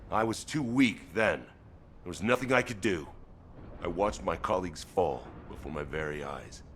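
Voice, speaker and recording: Gruff vengeful soldier for a video game, really deep voice, proud and blunt, slight noise behind. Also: male voice. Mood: grim, resolute. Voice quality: deep, weighty. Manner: stern, blunt. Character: gruff battle-worn male soldier, vengeful, proud, loyal. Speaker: actor voicing a game character. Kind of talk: scripted game dialogue.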